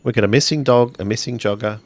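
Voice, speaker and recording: A man's voice: mid-range voice, fast talker, no echo, natural voice. A man playing an unsure kid in improvised tabletop roleplay, talking hesitantly and informally. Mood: worried. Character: unsure kid caught in a mystery adventure.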